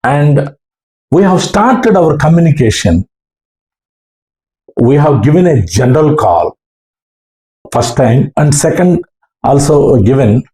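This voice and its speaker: A man with an Indian accent tells of his own experience at an unhurried, halting pace. His voice is soft-edged and mellow, and he builds each sentence in short, deliberate pieces. The recording has an echoing, roomy sound. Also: fairly high pitch, sing-song. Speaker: ham radio operator. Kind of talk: recounting a personal experience.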